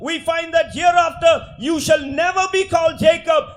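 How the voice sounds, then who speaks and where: A man's voice: very high-pitched, little pitch movement, very slow. A man with a South Indian accent interpreting a sermon in an emphatic, solemn, declamatory way.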